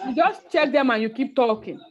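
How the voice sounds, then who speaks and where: A woman's voice: high voice, fairly quick, a crowd in the background. A woman from Nigeria teaching an online IELTS class, firm and instructive, calling on students and checking they understand. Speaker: IELTS tutor. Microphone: video call. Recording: muffled video call audio.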